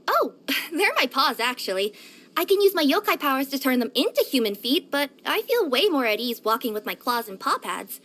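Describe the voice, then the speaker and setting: A woman voicing a cheerful, eager young female cat yokai courier in a video game, with an expressive, cutesy delivery and little hesitant murmurs. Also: high voice, normal speed. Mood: flustered. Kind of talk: scripted video game character voice lines.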